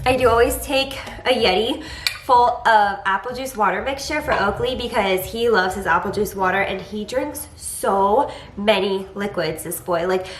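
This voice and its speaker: A woman's voice: a little high, reverberant, slight background noise. A young woman, a mother, chatting casually to camera at home, sounding congested from allergies and rambling with lots of "like". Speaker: vlogger, mother. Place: home, indoors.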